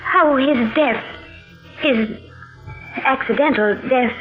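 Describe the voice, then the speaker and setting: A devoted, fearful wife in an old radio thriller. Her fairly high voice is earnest and falters breathlessly. The sound is thin and narrow, with music behind it. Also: slow pace. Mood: anxious, probing. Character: anxious, devoted young wife hiding her fear. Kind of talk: 1940s radio drama acting.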